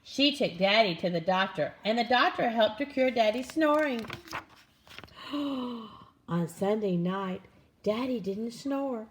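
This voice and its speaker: A woman reading a children's picture book aloud in an expressive, dramatic manner. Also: a little high, slow, a little hiss or hum. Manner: animated, expressive, with dramatic gasps and exclamations. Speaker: storybook reader.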